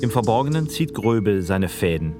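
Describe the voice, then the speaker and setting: A man narrating a documentary in a measured, slightly brooding way. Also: fairly low pitch, very animated. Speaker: narrator and interviewer. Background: soft guitar music.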